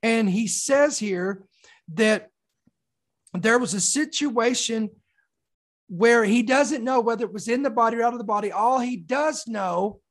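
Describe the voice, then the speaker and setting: A preacher with a slightly raspy, mildly hoarse voice, giving an expository sermon and reading scripture with deliberate emphasis on key words. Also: mid-range voice. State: mildly hoarse from sore throat.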